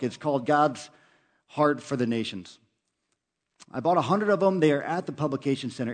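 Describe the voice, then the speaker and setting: A male pastor preaching an earnest sermon, urging listeners with repeated phrases. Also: a little high.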